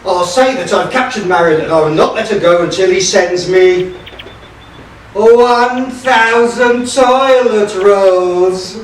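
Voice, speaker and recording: A man's voice: high voice, slow. A man acting a scheming, pompous sheriff villain in a theatrical pantomime style. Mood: gloating scheming. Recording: echoey hall, distant mic, faint noise.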